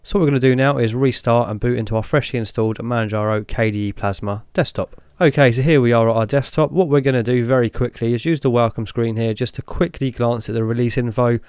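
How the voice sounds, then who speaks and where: A young man hosting a tech channel, talking through each step in a relaxed way as he clicks through an install on screen. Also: mid-range voice, fast talker, few pauses, clear, resonant tone, dry recording, studio quality. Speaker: tech video host. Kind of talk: screen-recorded walkthrough narration.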